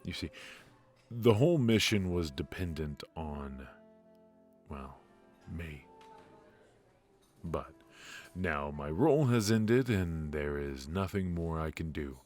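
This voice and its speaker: Very deep, slow-spoken mafia boss played by a man. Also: lively intonation, a little mellow, soft background music. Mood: smug, teasing. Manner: measured, hesitant pauses, intimate, smug. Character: suave ruthless male mafia boss, weary and confiding. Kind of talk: noir ASMR roleplay monologue.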